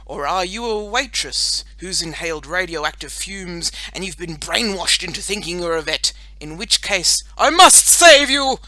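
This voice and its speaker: A woman's voice: lowish voice, lively intonation, unhurried, hardly any pauses, sharp tone, no echo, poor audio. A woman voicing an earnest young boy who plays a pompous, dramatic superhero in a children's audiobook, proclaiming grandly and urgently. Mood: urgent, heroic.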